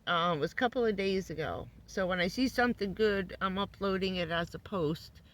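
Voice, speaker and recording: A hoarse woman who says she is losing her voice, giving a loose, chatty van-life update full of fillers. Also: mid-range voice, fairly quick, a bit bright, rough recording. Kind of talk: casual rambling live update. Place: living in a van.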